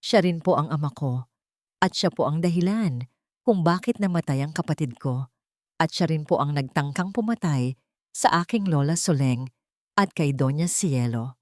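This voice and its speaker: A woman's voice: low-pitched, animated, normal speed, no room sound, studio quality. A woman voicing a wronged, defiant young heiress with firm, emotionally charged declarations in an audio drama. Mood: trembling but resolute.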